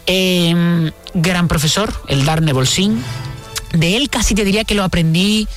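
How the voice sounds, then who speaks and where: A woman's voice: fairly low pitch, a little slow, faint music behind. A woman, talking candidly and reflectively as an interviewed guest, repeating words for emphasis. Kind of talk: conversational radio interview.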